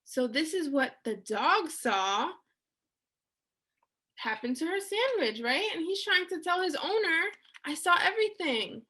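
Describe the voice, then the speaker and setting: A woman hosting a story time for children, speaking in an animated, encouraging way and asking the young listeners questions. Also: very high-pitched, a little slow.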